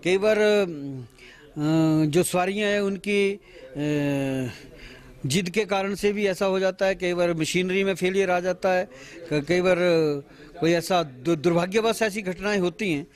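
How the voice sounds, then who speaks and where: A man in his fifties, a party official, giving a halting press statement to reporters with many pauses and restarts, speaking into a bundle of handheld mics outdoors at a bus station. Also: high voice. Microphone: bundle of handheld news mics.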